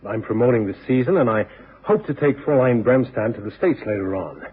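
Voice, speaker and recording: A man's voice: medium pitch, animated, brisk, breathy edge. A man playing a businesslike, anxious concert manager with a polite, hesitant manner in a radio drama. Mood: worried, businesslike. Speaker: supporting actor. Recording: tinny old radio recording.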